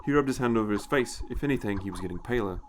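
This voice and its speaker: A man's voice: fairly low pitch, racing, slight background noise. A man reading a scripted short story aloud as its narrator.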